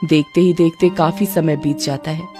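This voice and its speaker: A woman's voice: low-pitched, fairly even intonation, normal speed, warm tone, soft background music. A woman narrating a scripted story as a storyteller.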